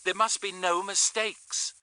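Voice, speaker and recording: A man's voice: high-pitched, steady tone, a little slow, bright, forceful tone, clear, resonant tone, dry recording, pitched above the performer's own voice, exaggerated voice. A man voicing a cold, authoritative woman of thirty in an audiobook, with a clipped, commanding manner. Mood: firm, urgent. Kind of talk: audiobook character voice.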